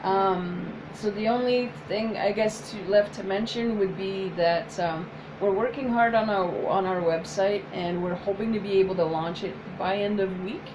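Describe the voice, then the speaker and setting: A woman whose first language is French, speaking as a psychotherapist in a soft, hesitant casual chat with many pauses. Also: medium pitch, fairly even intonation, noisy background. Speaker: psychotherapist, co-host.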